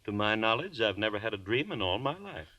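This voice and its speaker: A haunted, brooding accused murderer in a 1940s radio drama. His low voice carries anguished inner thoughts as dramatic monologue, on a dry, muffled vintage recording. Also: very animated, a little fast, bright, forceful tone, no echo. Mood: earnest, assured.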